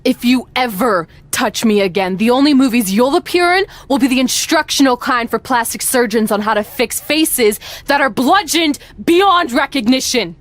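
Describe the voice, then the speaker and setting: A woman's voice: a little high, animated, normal speed, hardly any pauses, lightly acted. An actress playing a blunt, reluctant, combative female wrestler in a game cutscene, speaking in a dry, irritated way. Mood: hostile. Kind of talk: comedic video game cutscene acting.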